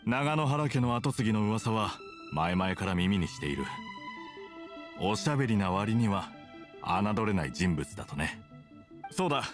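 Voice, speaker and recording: An actor playing a stern, conflicted soldier with hidden kindness, speaking in a measured, blunt way in a video game dub. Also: male voice, fairly low pitch, sing-song, music in the background. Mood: calm, reflective. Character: stern, conflicted male soldier, weary but secretly kind. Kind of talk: Japanese game dub acting.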